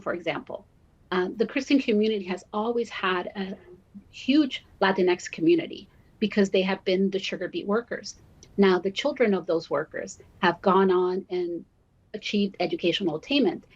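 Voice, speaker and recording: Woman with dull, lo-fi sound, measured delivery. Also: mid-range voice, slightly rough. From born in Guatemala, raised in Miami, Florida. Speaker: university diversity officer, interview guest. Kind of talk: broadcast interview answers, explanatory.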